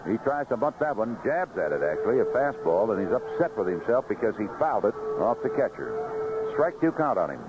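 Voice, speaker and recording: Male baseball announcer, live play-by-play, very lively intonation, dull and noisy old radio sound. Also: lowish voice, brisk. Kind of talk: live play-by-play sports commentary. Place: outdoor ballpark broadcast booth. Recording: noisy muffled vintage 1970s radio broadcast.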